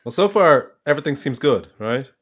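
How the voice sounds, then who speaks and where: A man chatting casually, making joking remarks and quick interjections. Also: medium pitch, lively intonation, a bit bright. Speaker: co-host. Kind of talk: casual chat.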